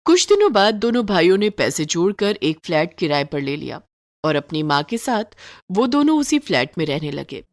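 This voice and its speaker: A woman's voice: fairly low pitch, big pitch swings, moderate pace, dry recording, studio quality. A woman reading scripted narration for a moral story, as its storyteller.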